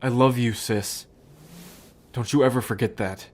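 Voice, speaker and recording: Slow, heartfelt remorseful brother acted by a man. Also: mid-range voice, steady tone, darkish tone, lightly acted. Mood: determined, affectionate. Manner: hesitant, sighing, heartfelt. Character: remorseful, affectionate brother on the run. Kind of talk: ASMR roleplay acting.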